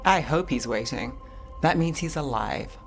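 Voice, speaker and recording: A woman's voice: extremely low pitch, expressive, unhurried, exaggerated voice. An actress playing a dry, world-weary woman detective in a video game, wry and understated, with music behind her. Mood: dry, focused.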